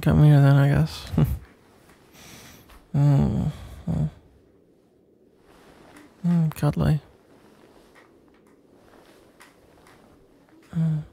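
A man acts out a shy, flustered young guy in an intimate ASMR roleplay. His voice is soft-toned and mellow, husky with breath and thick with creak. He stammers and mumbles awkwardly, like a sleepy best friend who is smitten. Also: a little high, full character voice. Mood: shy, tender.

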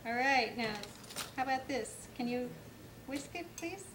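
High-voiced woman hosting a kids' cooking show. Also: expressive, a little slow, noisy background. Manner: patient, instructive, polite requests. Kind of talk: cooking demonstration for kids. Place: indoor studio kitchen set.

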